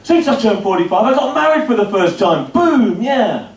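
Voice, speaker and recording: A stand-up comic in his fifties, his voice sitting a little high as he delivers dry, self-deprecating stories. He is heard from across a roomy club, caught at a distance with a faint hum of the venue behind him. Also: very animated, normal speed. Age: 50s. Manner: dry, self-deprecating storytelling with punchy asides. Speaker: stand-up comedian. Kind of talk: stand-up comedy set. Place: comedy club stage before a laughing audience.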